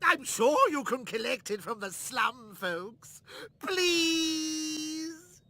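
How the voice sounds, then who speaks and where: An actor playing a panicky, grovelling official in a video game, whining and pleading. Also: male voice, very high voice, lively intonation, very slow, exaggerated voice. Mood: panicked pleading. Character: panicky, grovelling male official begging for money. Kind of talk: video game character acting. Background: loud music.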